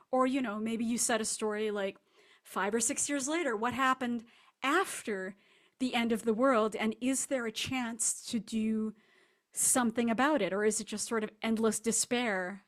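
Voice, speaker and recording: A woman speaking casually as a guest author in an interview, rambling and self-deprecating. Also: a little high. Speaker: guest fiction writer.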